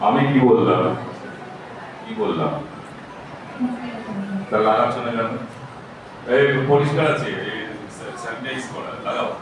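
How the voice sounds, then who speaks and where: A man's voice: medium pitch, a little slow, long pauses, distant mic. Man teaching in a very echoey, noisy room. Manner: instructive, insistent, repeats himself. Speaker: recitation workshop trainer. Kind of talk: live workshop teaching. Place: large echoey room at a live workshop. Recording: echoey noisy hall, picked up from afar.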